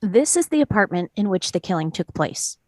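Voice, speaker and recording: A woman plays a calm, persistent juror in a courtroom drama. Her mid-pitched voice is measured and explanatory, recorded close and dry. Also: no echo. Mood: methodical. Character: calm, reasoning, persistent juror testing evidence. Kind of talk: play table read.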